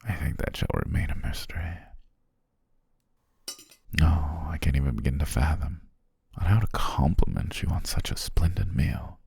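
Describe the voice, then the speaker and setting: A man playing a courteous, flattering demon king in an intimate ASMR roleplay, with formal, old-fashioned phrasing and a husky, gravelly voice. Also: normal speed, pauses often, dry recording, heavily acted. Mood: delighted, savoring. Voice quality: very deep, gravelly, husky, breathy. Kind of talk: ASMR roleplay, intimate second-person acting.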